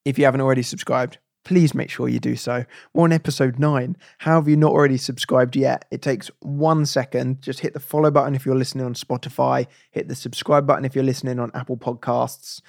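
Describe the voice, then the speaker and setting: A man's voice: mid-range voice, flat delivery, fairly quick, creaky, few pauses, a little mellow, no room sound, studio quality. A man hosting a podcast, chatting in an upbeat, casual way. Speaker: podcast host.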